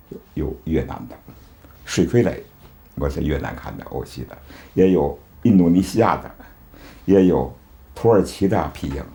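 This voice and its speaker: A man's voice: low voice, creaky, warm tone. A man giving unscripted commentary as an interviewee.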